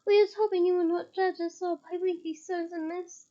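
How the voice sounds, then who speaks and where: A woman voicing a humble, ashamed male kitchen house-elf, squeaky and deferential. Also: steady tone, moderate pace, warm tone. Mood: apologetic. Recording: slightly dull lo-fi recording.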